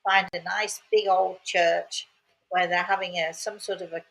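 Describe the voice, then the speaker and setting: A woman's voice: lowish voice, little pitch movement, measured pace, vocal fry, fairly bright tone, rough recording. An older woman hosts and tells a casual, rambling story. She talks in a chatty way, repeating words and adding cheerful asides. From England. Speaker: host.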